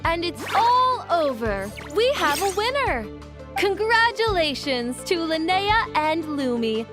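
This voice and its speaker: A woman's voice: very high voice, sing-song, takes it slowly, music in the background, full character voice. A woman voicing an upbeat tournament announcer in an anime video game. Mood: triumphant.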